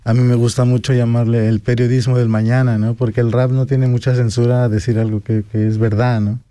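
Dark-toned Mexican man, close and dry. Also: medium pitch, level tone, fairly quick, smooth, dry recording. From Pachuca, Mexico. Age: 40s. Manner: often ends phrases with a tag question. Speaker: guest rapper. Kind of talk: casual interview talk.

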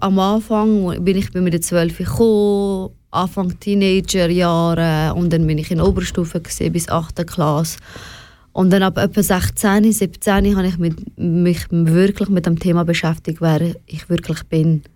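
Candid young Swiss German woman, slightly low. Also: fairly even intonation, unhurried, darkish tone, dry recording. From Switzerland, of Afghan family. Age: young adult. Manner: candid, mixes in English slang words. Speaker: interview guest. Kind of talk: personal, reflective conversation.

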